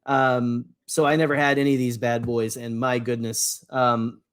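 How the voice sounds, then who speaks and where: A man's voice: medium pitch, level tone, moderate pace, clean tone. A man co-hosting a fan podcast, chatting casually in a rambling, jokey way. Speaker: podcast co-host.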